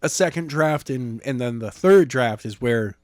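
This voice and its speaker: A man bantering casually as a podcast co-host, with a playful, expressive and exclamatory way of talking. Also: mid-range voice, measured pace, dry recording, clean studio sound. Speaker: podcast co-host and chapter reader.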